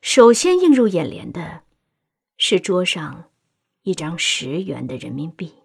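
Woman, dramatic scripted narration, medium pitch, animated delivery, husky. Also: a little slow.

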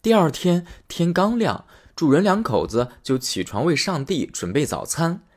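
A male storyteller reading a classic fairy tale aloud for children. His friendly delivery rises and falls a lot in pitch, keeping the telling lively. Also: moderate pace. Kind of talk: bedtime story narration.

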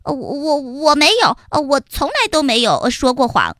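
A woman voicing a nervous little boy ant who fibs and stammers in a rushed, higher voice. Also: very animated, a bit bright, no room sound, clean studio sound. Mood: flustered. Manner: stammering and rushed when caught lying.

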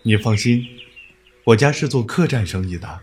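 A man playing a gentle young scholar of old times in an audio drama, speaking in a formal, literary and lightly amused way. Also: fairly low pitch, expressive, normal speed, soft background music, natural voice. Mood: courteous. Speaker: voice actor. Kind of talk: period audio drama acting.

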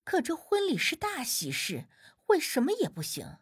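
A woman's voice: high-pitched, wildly swooping pitch, moderate pace, dry recording, studio quality, heavily acted. A woman voicing a doting, easily flustered grandmother in an audiobook, with gushing, exclamatory delivery. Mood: puzzled.

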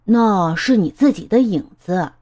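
A woman voicing a friendly, patient, playful storybook elephant with an expressive, teasing manner. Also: medium pitch, unhurried, natural voice. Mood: amused, explaining.

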